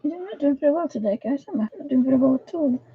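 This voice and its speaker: A woman with a croaky voice hosting a casual livestream while colouring, talking softly and rambling, often half-whispered. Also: high voice, lively intonation, fast talker, narrow, tinny audio, slight background noise. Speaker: livestream host.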